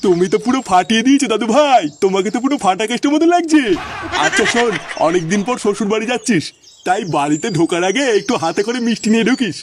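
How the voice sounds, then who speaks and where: Squeaky, fast-talking cartoon grandpa. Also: male voice, expressive, a little hiss or hum. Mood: fussy, fond. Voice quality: high-pitched, cartoonish. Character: grumpy, fussy old village grandfather, sharp-tongued. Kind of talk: comic cartoon dialogue.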